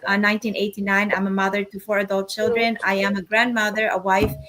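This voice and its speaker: A middle-aged woman with a Filipino accent hosting an online panel casually, speaking in a halting way. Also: medium pitch, flat delivery, background noise. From Philippines. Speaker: podcast host, nurse.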